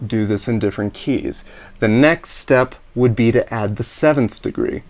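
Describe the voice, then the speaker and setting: A man with a slightly raspy voice, teaching ear training: he explains in a relaxed, practical way and sings solfege scales and intervals. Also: medium pitch, moderate pace, music in the background. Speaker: music teacher. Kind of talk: instructional talk with sung solfege drills.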